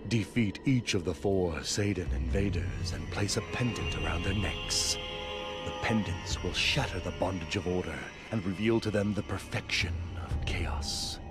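An actor playing a zealous male warrior cleric of chaos in a video game, speaking in a solemn, deliberate, grandiose way. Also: deep voice, natural voice. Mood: solemn, persuasive. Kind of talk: scripted video game dialogue. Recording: game music behind the voice. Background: video game music.

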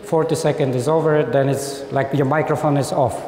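A man's voice: a little high, fairly even intonation, a little fast, dark tone, a little hiss or hum. A man, giving a conversational lecture and acting out quoted diplomats. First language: Polish. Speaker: lecturer on human rights. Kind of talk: informal lecture. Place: lecture hall with seated audience. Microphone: lapel mic. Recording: echoey hall.

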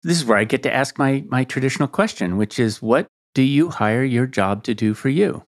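A man's voice: medium pitch, expressive, brisk. A man hosting a podcast, asking probing questions in a conversational interview. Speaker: podcast host.

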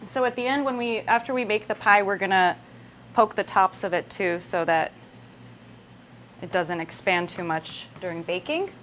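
A woman's voice: mid-range voice, fairly even intonation, normal speed, fairly bright tone. A woman in her thirties hosting a casual live cooking demonstration, talking conversationally through each step. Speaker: cooking show host. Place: indoor kitchen set with wooden worktop. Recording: noisy room sound with kitchen ambience.

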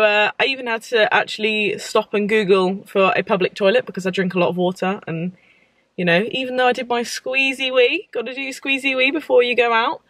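A young woman talking straight to her camera at home and in a parked car, bubbly and chatty. Her pitch sits in a middle range and she tumbles eagerly from one aside to the next. It sounds like an easygoing, excitable vlog. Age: young adult. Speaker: book vlogger. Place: home room with bookshelves, later parked car.

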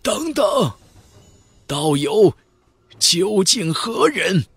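A man voicing a shaken, bewildered old immortal cultivator in an audiobook, with a strained, creaky voice. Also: sits high, very animated, very slow, a bit bright, no room sound, pitched above the performer's own voice, full character voice. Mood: urgent, bewildered. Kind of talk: audiobook character voice.

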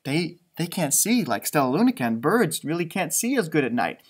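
A man in his thirties with an American accent reading a picture book to children, adding chatty, wondering asides in an expressive way. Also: fairly high pitch, moderate pace, husky. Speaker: storybook reader for children. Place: indoors, a library with bookshelves.

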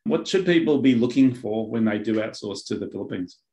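A man's voice: medium pitch, lively intonation, a little fast, creaky, warm tone. Host type, man in his fifties, plain mid voice, keeps questions short and affirming. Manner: brief encouraging prompts. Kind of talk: interview host. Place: home office with bookshelves. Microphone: webcam on video call.

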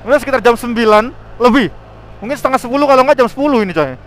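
A man chatting casually and excitably in slangy style as he vlogs while riding outdoors. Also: very high-pitched, rapid-fire. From Indonesia. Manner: excitable, exclamatory, interjection-filled. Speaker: motovlogger. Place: outdoors, riding a motorbike on a forest mountain road.

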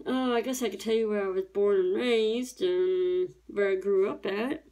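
A woman's voice: mid-range voice, moderate pace, dark tone. A woman in her thirties from Tennessee, chatting casually and rambling as she works on a craft at home. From Tennessee, USA. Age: 30s. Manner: rambling, chatty, self-correcting. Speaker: diamond painting YouTuber. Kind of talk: casual chat while crafting. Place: home craft table, indoors.

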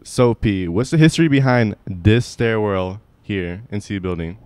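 A man's voice: on the low side, unhurried, darkish tone. A young man conducting a casual on-location interview as a student reporter. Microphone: handheld interview microphone.

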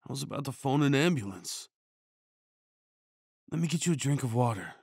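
A man performing an ASMR comfort roleplay as a tender, worried boyfriend, speaking in a hushed, soothing way with sighs and shushing. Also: medium pitch, animated, moderate pace, gravelly, husky, no room sound.

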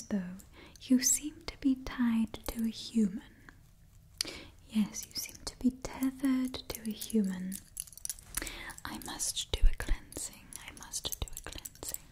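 Woman, twenties, ASMR roleplay host. Breathy close-mic whisper, unhurried delivery, soothing repetition. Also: on the high side. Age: 20s. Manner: soft, repeats phrases slowly and soothingly. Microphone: close-up ASMR microphone.

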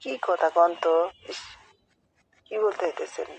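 A young woman with a Bangladeshi accent, hoarse from a cold, chatting casually and questioningly on a phone call. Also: lowish voice, unhurried, no room sound. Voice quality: hoarse, broken voice. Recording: noisy phone call recording.

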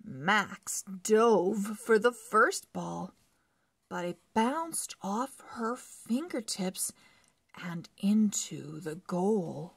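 A woman reads a children's story aloud at a very slow, careful pace. Her voice is breathy, with some creak at the edges. She places each phrase deliberately for young readers following along. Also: medium pitch, dry recording. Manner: deliberate, word-by-word phrasing with animated emphasis. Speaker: children's book read-along narrator. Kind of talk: slow expressive read-aloud for early readers.

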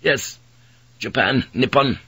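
A man voicing a hostile, hot-tempered priest in his twenties from Portugal, impatient and sharp as he interrogates, in an audiobook. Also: mid-range voice, moderate pace, husky, no echo. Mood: cold, impatient.

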